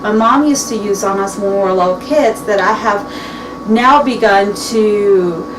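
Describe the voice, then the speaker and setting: A woman's voice: mid-range voice, measured pace. A woman in her early forties chatting casually to camera in her home kitchen, rambling and restarting her sentences as she talks. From Mexican heritage. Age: 40s. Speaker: beauty vlogger. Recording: echoey room, distant mic, clear background noise.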